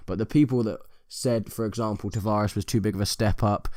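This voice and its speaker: Fast-talking man asking long questions. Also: fairly low pitch, fairly even intonation, darkish tone, dry recording, studio quality. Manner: long, rambling questions with fillers. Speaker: interviewer. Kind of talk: sports interview questions.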